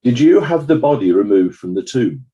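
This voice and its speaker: A man's voice: medium pitch, big pitch swings, normal speed, dark tone, breathy edge, dull audio. A man playing a formal, authoritative examiner who questions witnesses at a dramatized inquest. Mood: probing.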